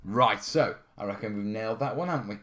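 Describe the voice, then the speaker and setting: Low-voiced man, rambling and informal. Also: brisk, a bit bright. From North Wales, UK. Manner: informal, rambling, often checking in with "yeah" and "you know". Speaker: host of a terrain-modelling hobby stream. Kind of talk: casual live question-and-answer chat. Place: studio.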